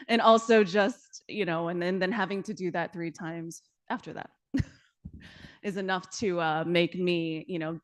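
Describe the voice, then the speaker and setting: A woman from China talks about founding her company in an on-stage interview. Her voice sits a little low. She is earnest and chatty, leaning on fillers and slipping in light laughs. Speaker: startup founder. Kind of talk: panel interview answers.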